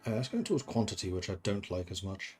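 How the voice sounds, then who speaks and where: A man's voice: on the low side, animated, fast, a little mellow, faint music behind. A man from the Netherlands who grew up in England, streaming a strategy game and thinking aloud in casual commentary, chatting with viewers and laughing often.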